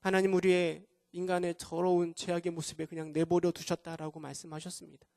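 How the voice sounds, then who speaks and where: Man, pastor, hoarse from a cold, fairly high voice, earnest sermon, rhetorical questions, dry sound. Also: completely flat delivery, brisk, darkish tone, no room sound. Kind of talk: sermon at a Friday prayer meeting. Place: church.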